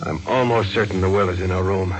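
A man's voice: deep voice, steady tone, quick pace, lightly acted. An actor playing a cold-blooded, scheming young man in a 1940s radio mystery, insistent and clipped. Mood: plotting. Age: young adult. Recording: vintage radio recording with organ music.

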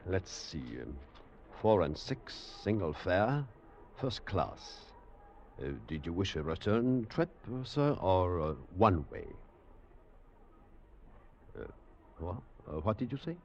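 An actor playing a polite, fussy railway ticket clerk in a 1940s radio drama, hesitant and muttering. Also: male voice, deep voice, very animated, slow, darkish tone, full character voice. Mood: polite, puzzled. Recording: muffled vintage radio with background noise.

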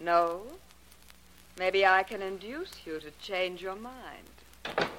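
A woman's voice: medium pitch, slow, noisy background, close to an everyday voice. A woman playing a proud, possessive young scientist spurned in love, biting and dramatic, in 1940s radio drama style. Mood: insistent. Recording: vintage 1940s radio recording.

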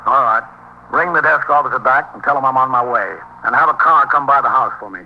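An actor plays a matter-of-fact police captain with calm authority. He speaks briskly in an official manner. It is 1950s radio drama with clear background noise and a dull sound. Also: medium pitch, close to an everyday voice. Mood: businesslike. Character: businesslike police captain narrating his cases, calm authority. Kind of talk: 1950s radio drama acting and scripted narration. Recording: muffled, hissy 1950s radio recording.

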